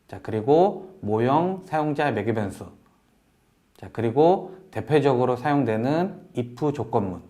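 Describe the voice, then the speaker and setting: A man presenting a software tutorial in a polite, explanatory way, walking through each step as an instructor. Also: mid-range voice. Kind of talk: software tutorial narration over screen demo.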